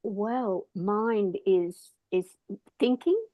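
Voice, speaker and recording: A woman's voice: medium pitch, drawn-out words, dark tone, dry recording. A woman in a casual discussion, talking in a thoughtful, hesitant, rambling way. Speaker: discussion participant.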